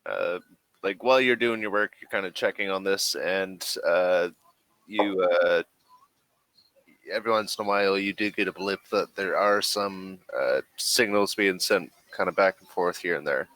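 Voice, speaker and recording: A man improvising as game master of a tabletop role-playing game, talking casually and haltingly. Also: low voice, fairly bright tone, no echo.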